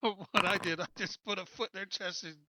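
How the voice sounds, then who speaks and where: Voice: mid-range voice, fairly even intonation, brisk, noisy background. A tabletop game player bantering casually, joking and swearing freely. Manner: joking, profane.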